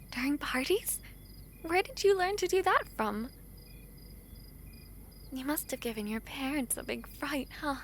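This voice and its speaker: A woman playing a gentle, affectionate princess in a romantic fantasy audio drama, with formal, tender, courtly phrasing. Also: high-pitched, slight background noise, heavily acted. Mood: tender, wistful. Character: gentle, affectionate foreign princess, gracious and wistful.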